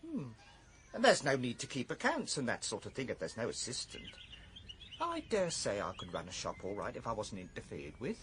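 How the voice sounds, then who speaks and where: An actor playing an awkward, evasive, wistful would-be shopkeeper in a radio drama, with an English accent and clipped, hesitant phrasing. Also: male voice, medium pitch, huge pitch swings, fairly quick, fairly bright tone, slight background noise. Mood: earnest, wistful.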